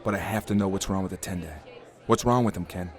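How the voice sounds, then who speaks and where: An actor playing a serious, worried investigator with clipped hard-boiled delivery in a noir audio drama. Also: male voice, low voice, a little fast, some chatter behind, lightly acted. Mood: worried, urgent. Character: earnest, worried male investigator in noir moon city.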